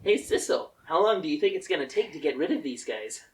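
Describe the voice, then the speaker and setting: A childlike, competitive warrior girl, played by a woman in an amateur anime fanfiction reading. Her delivery is playful and very animated in pitch. There is faint noise on the recording. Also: fairly quick, rough recording. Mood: cheery. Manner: playful, excitable.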